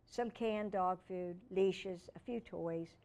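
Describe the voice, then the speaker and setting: A woman reads the part of a grey-haired storybook man, gruff and sad. He speaks tersely, withdrawn into himself. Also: lowish voice, slow pace, mellow. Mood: gruff, subdued. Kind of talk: children's storybook read-aloud.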